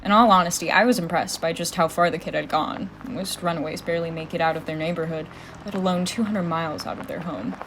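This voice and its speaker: Actress as jaded female private eye for radio-style drama: deeper register, dry wit, slightly noisy home recording. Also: fairly even intonation, quick pace, lightly acted. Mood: weary, reflective. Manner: world-weary, wry, dry. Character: weary, pessimistic, sardonic female private detective, hard-boiled narrator. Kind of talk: scripted audio drama narration and dialogue.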